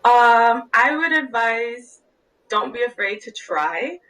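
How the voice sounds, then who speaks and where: A woman's voice: medium pitch, sharp tone. A young woman, a psychology student, speaking thoughtfully and encouragingly in a video-call interview. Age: young adult. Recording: distant from the mic.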